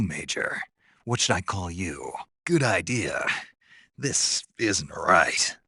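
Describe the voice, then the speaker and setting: A gruff American covert soldier from a video game, very low and husky. He is terse and earnest, with a deadpan naivety, yet his pitch moves in lively swings. The sound is dry and close. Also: no room sound, exaggerated voice. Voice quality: gravelly, husky. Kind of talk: video game voice acting, radio dialogue.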